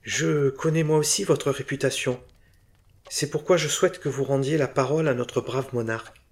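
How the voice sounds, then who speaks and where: A man playing a nervous, excitable antique dealer who pleads and blurts in a husky voice. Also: mid-range voice, normal speed, pitched above the performer's own voice, close to an everyday voice. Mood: eager.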